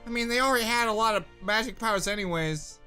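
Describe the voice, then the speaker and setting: A man's voice: sits high, fast, bright, forceful tone, faint music behind. A man giving casual, jokey reaction commentary with sarcastic remarks and mild swearing. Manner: jokey, sarcastic, mildly profane. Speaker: reaction video host.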